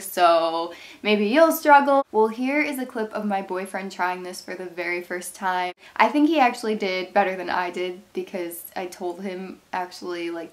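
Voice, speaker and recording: A young woman in her twenties from Ohio, stuffed up and sniffly from a cold, chatting casually through a craft product review in her bedroom. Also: mid-range voice. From Ohio, USA. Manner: chatty, rambling, upbeat. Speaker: craft channel host. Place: bedroom, indoors.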